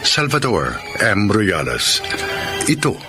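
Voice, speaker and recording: A man's voice: fairly low pitch, huge pitch swings, slow pace, over music. A man announcing a radio drama as its host, formal and theatrical in delivery.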